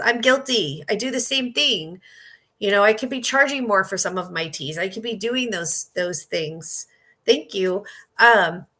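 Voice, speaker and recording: Woman, fifties, tarot reader. Bright, forward voice at average pitch, halting casual delivery with repeated phrases. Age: 50s. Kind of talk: casual spoken psychic reading.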